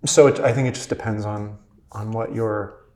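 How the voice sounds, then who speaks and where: A man's voice: fairly low pitch, fairly even intonation, moderate pace, darkish tone. Man asking questions in an echoey room. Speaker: host. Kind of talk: casual interview.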